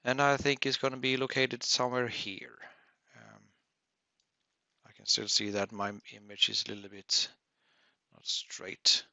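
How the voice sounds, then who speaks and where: A man presenting a technical webinar tutorial as an instructor, explaining step by step in a halting way. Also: medium pitch, fairly even intonation, vocal fry, bright, forceful tone, no echo, dull audio. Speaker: audio engineering instructor.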